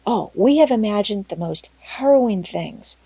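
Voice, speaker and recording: A woman voicing an excitable, imaginative young girl with a breathless, dramatic, talkative manner in a storybook reading. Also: medium pitch, moderate pace, husky, no room sound, rough recording. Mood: thrilled dread. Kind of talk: storybook character voice in audiobook.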